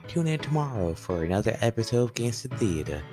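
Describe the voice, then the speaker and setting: A student performer playing an excitable announcer for an old-time radio serial. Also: mid-range voice, fast talker, natural voice. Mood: dramatic pitch. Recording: school radio play with music behind.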